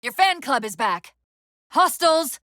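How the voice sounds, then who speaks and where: Casting: female game AI companion, high and very animated, tactical, witty, strained. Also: measured pace, no room sound, full character voice. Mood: wry, sardonic, then urgent, commanding. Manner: crisp tactical briefings with dry sarcasm. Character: female military AI companion, urgent, witty, fraying under strain. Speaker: voice actress in a video game. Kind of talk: dramatic game voice acting.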